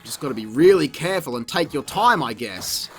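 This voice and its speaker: A man's voice: mid-range voice, lively intonation, brisk, soft background music. A man giving comedic, partly scripted video review commentary in an exclamatory, sarcastic, crude way. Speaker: video game reviewer, host.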